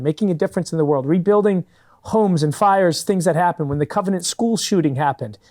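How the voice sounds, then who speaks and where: A man's voice: fairly high pitch, a little mellow. Earnest man explaining steadily. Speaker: guest, fundraising company founder. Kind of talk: studio interview answers. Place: public TV studio.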